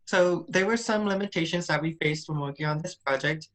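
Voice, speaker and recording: A man's voice: a little high, brisk, fairly bright tone. A young man giving a prepared student project presentation, occasionally stumbling and restarting on words.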